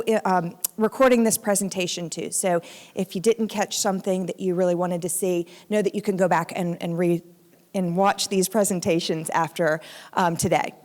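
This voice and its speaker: A woman's voice: mid-range voice, darkish tone. A woman general manager giving friendly, loose welcome remarks at a conference, with many ums. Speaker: general manager and event host. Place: large event hall with live audience. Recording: long hall reverb.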